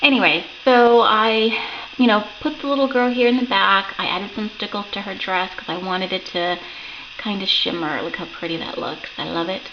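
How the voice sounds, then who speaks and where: A woman talking through a finished craft project in a loose, rambling way, her voice croaky from a sore throat. The recording is dull and lo-fi, with clear hiss underneath her. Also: medium pitch, normal speed. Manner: chatty, rambling, lots of ums and self-corrections. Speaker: crafting channel host. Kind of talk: casual craft show-and-tell. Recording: dull lo-fi home recording with noticeable background hiss.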